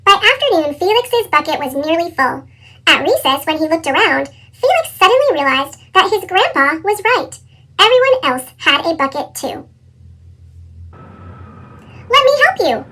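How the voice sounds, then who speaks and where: Woman storybook reader, chipmunk pitch-shift effect, extremely high, bright, animated read-aloud. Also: moderate pace. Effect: sped-up chipmunk pitch shift.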